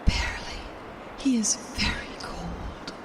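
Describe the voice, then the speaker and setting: Woman acting a slow, whispering female wind spirit. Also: distant mic, natural voice. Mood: grave. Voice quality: whispery, breathy. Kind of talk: audio drama acting.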